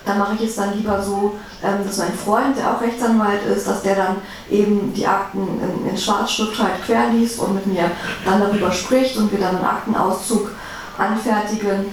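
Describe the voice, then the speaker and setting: A woman's voice: mid-range voice, fairly even intonation, moderate pace. A woman guest speaker talking about her own work as a defense lawyer, recorded in a reverberant room. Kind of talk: personal talk to a live audience. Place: reverberant indoor event room. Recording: echoey room, distant mic, faint noise.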